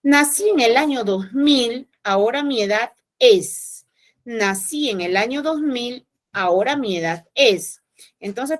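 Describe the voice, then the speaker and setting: A woman teacher, explaining math step by step in an online class and often checking with her students. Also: on the high side.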